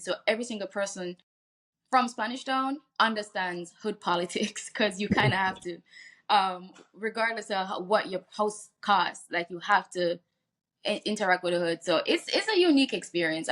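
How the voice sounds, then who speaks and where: A woman's voice: medium pitch, moderate pace, a bit bright. A woman from Jamaica, a singer talking candidly in a casual interview, laughing easily and restarting her sentences. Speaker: guest singer.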